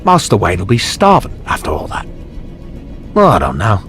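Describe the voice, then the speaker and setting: A man's voice: fairly low pitch, wildly swooping pitch, normal speed, fairly bright tone, soft background music. A man playing an elderly, fussy, dryly witty British butler, narrating game cutscenes in a wry, understated way. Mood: dry, fond.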